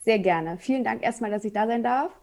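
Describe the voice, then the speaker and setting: A woman's voice: a little high, brisk, darkish tone. A woman in her forties from Berlin, telling her story openly as a podcast guest, with many fillers. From Berlin, Germany. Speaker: guest, photographer. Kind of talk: casual interview.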